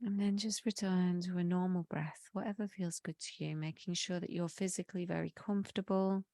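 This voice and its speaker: A woman leading a guided meditation in a slow, soothing way, her voice slightly croaky from a cold. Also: fairly low pitch, flat delivery, warm tone, dry recording, clean studio sound. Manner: slow, soothing, drawn-out phrasing. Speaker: meditation leader.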